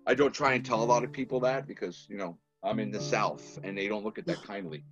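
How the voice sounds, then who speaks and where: A man's voice: on the low side, fast, highs cut off, over music. A man from Massachusetts with a Boston accent, a podcast guest telling stories and acting out the dialogue. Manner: animated storyteller who acts out quoted dialogue. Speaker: guest, filmmaker. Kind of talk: casual podcast storytelling.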